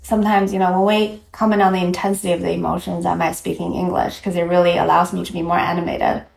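A woman psychologist whose first language is Mandarin Chinese explains her ideas carefully and steadily. Her lowish voice has a gravelly edge. The sound carries a noticeable room echo. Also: little pitch movement. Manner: measured, explanatory. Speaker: psychologist guest. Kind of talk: interview answers.

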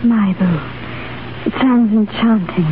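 An actress playing a poised, wistful English lady tempted by adventure, in genteel, measured 1930s radio acting. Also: mid-range voice, noisy background, close to an everyday voice. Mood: playful, enchanted. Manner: genteel, measured, softly dramatic. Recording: tinny 1930s radio broadcast, music behind.

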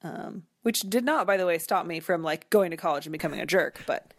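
A woman's voice: lowish voice, fairly quick, no room sound, clean studio sound. An American woman speaking as a guest in a casual, conversational interview, thoughtful and colloquial, peppering sentences with "like" and "you know". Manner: thoughtful, colloquial, frequent 'like' and 'you know'.

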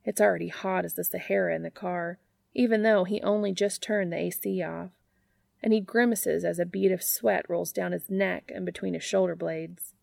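A woman's voice: lowish voice, steady tone, moderate pace, creaky, darkish tone, dry recording. A woman reading fan fiction aloud as scripted story narration.

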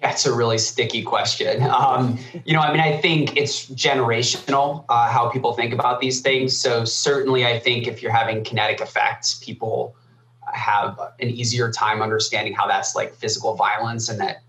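A man's voice: medium pitch, steady tone, normal speed, a bit bright. A man speaking as a podcast guest, thoughtful and hedging with frequent fillers. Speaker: guest, former army cyber officer. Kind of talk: casual podcast discussion. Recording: echoey room.

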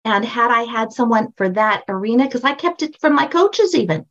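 Older woman guest, high voice, emphatic storyteller, dull lo-fi sound. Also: animated, normal speed, fairly bright tone. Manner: emphatic, storytelling, repeats phrases for stress. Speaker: guest, brand strategist and book coach. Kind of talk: podcast interview.

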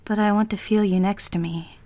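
An actress playing a conflicted, lovestruck young bride-to-be in a romantic period audio drama, speaking earnestly in measured, formal phrases. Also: female voice, mid-range voice, fairly even intonation, warm tone, close to an everyday voice. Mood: tender, yearning.

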